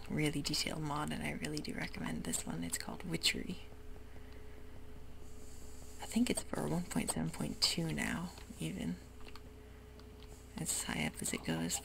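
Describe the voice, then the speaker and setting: A woman whispering softly through a relaxed, rambling ASMR game commentary. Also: deep voice, gravelly, noisy background. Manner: soft whisper, rambling and easygoing. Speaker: YouTuber playing a game. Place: home room with sprinklers outside.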